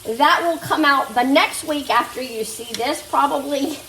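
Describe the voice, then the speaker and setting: A woman's voice: high-pitched, unhurried, pushed and bright, slight background noise. A woman chatting casually to viewers while she cooks in her home kitchen, a little nasal as she gets over a fever. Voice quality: slightly nasal. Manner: chatty, talks to viewers as "guys" while working at the stove. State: recovering from a fever. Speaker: cooking vlogger. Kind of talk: casual chat while cooking. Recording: echoey kitchen, mic a bit far off. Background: sizzling meat in a skillet.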